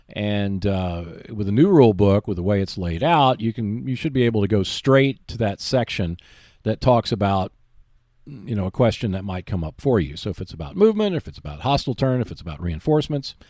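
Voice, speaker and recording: A man talks as the host of a tabletop gaming show, with a pitch that moves up and down a great deal. He explains tips step by step, stressing points and reassuring the listener. The sound is close and dry, with a medium-pitched voice. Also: darkish tone, no room sound. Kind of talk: instructional tips talk on board wargaming.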